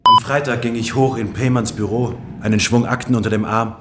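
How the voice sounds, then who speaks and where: A man's voice: mid-range voice, fairly even intonation, fairly quick, close to an everyday voice. An actor in a German radio drama playing an uneasy male employee who narrates his own story. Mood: reflective, uneasy. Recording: clear background noise, ambience.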